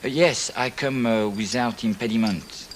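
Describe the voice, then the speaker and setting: An actor playing a French visitor with a French accent, speaking halting, formal English and searching for words. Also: male voice, mid-range voice, background noise, natural voice. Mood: polite, halting.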